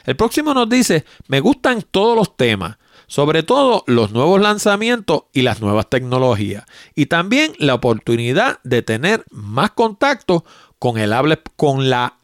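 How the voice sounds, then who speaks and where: Older Puerto Rican podcast host, chatty and explanatory, lively delivery, dry close mic. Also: male voice, fairly high pitch, dry recording. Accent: Puerto Rican Spanish. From Puerto Rico. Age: 60s. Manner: chatty, explanatory, plain-spoken. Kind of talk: solo podcast, reading listener mail.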